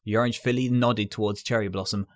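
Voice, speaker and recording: A man's voice: on the low side, fairly even intonation, fast talker. A man reading scripted story narration as the narrator. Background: music.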